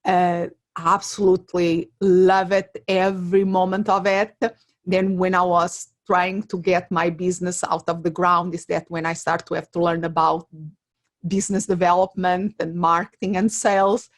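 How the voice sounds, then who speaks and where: Lower-voiced middle-aged Portuguese-accented woman. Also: level tone, moderate pace. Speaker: interview guest, career coach. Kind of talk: conversational interview explanation.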